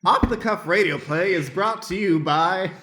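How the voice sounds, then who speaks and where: A man's voice: sits high, normal speed, sharp tone. A man narrating an improvised radio play as its stage manager. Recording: echoing room with music behind.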